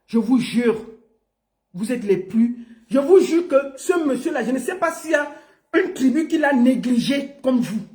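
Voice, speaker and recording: A man speaking as a political commentator, pleading and repeating himself, and rising to shouts. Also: very high voice. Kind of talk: impassioned political monologue. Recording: roomy echo.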